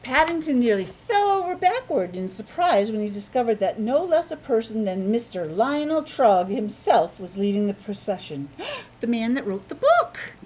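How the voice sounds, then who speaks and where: Animated woman reading a storybook aloud. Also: fairly high pitch, normal speed, a little hiss or hum, poor audio. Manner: expressive, explanatory, encouraging. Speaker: schoolteacher reading a picture book aloud to children. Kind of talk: read-aloud storytelling with simple asides explaining the pictures.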